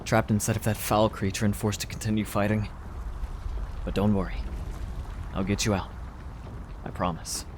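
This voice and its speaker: A man acting young knight hero, low voice, earnest, reassuring, determined, faint noise behind, audio drama. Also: little pitch movement, normal speed, long pauses, close to an everyday voice. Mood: tender resolve.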